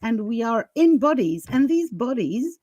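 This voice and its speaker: A woman's voice: on the high side, big pitch swings, unhurried, a little mellow, no echo, muffled recording. A woman podcast host talking candidly and casually, emphatic and given to chuckling as she goes.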